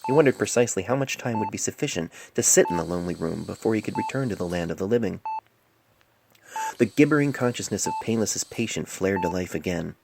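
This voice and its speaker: A woman's voice: a bass voice, animated, quick pace, creaky, background noise. A woman narrating a scripted fan fiction story as an amateur reader, recorded on a cell phone microphone.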